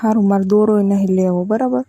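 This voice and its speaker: A woman's voice: mid-range voice, steady tone, quick pace, warm tone, breathy edge, dry recording, highs cut off. A woman with a Gujarati accent playing a demanding village in-law who wheedles insistently in a casual phone conversation. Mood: coaxing, stubborn.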